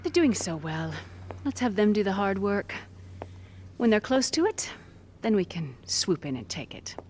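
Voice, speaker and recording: An actress playing a smug, coolly amused, scheming villainess in an animated video game. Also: female voice, mid-range voice, unhurried, a little hiss or hum.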